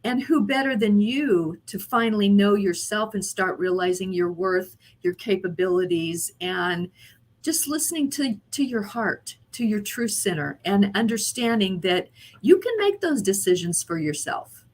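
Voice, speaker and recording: A woman's voice: mid-range voice, animated, unhurried. A woman co-hosting a motivational podcast, speaking in a reflective, affirming way. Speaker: podcast co-host. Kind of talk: motivational chat.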